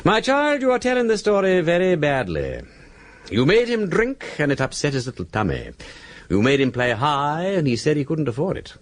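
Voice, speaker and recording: A man playing a mysterious, teasing gentleman sleuth in a period radio drama, arch and sardonic, with an upper-class English accent and a husky, breathy voice. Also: on the high side, very animated, normal speed. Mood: taunting.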